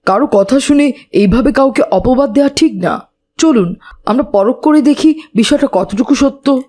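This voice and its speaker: A woman acts out a sensible, pious young cartoon boy. The voice is fairly high and soft-edged in tone, earnest and polite. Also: animated, moderate pace. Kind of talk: children's moral cartoon dialogue.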